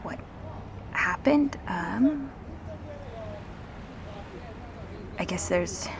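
A woman playing a gentle, reassuring revenant in an intimate audio roleplay, speaking earnestly and haltingly. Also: mid-range voice, a little hiss or hum. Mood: soothing, earnest. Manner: halting, earnest, soft-spoken pauses.

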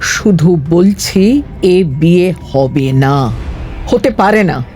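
An actress playing a grave, caring middle-aged mother in an audio drama, measured and dramatic. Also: fairly low pitch, expressive, warm tone. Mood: stern, anguished. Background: faint music.

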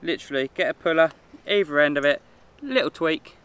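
A man's voice: on the high side, expressive, a little fast, noisy background. A man in his thirties outdoors, giving a friendly, easygoing run-through of his fishing rig. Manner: friendly, easygoing, with ums and uhs. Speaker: angler, team member demonstrating a rig. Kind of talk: casual product demonstration. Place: outdoors by a hedge.

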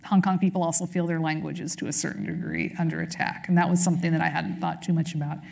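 Gravelly-voiced woman moderating a panel. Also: deep voice, flat delivery, fast, a little mellow. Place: stage at a public talk, indoors.

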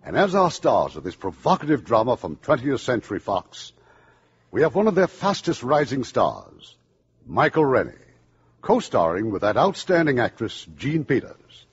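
A man's voice: medium pitch, sing-song, moderate pace, breathy edge. A man hosting a 1950s radio theater, giving formal introductions to a play. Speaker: radio producer and host. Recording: dull 1950s radio broadcast recording.

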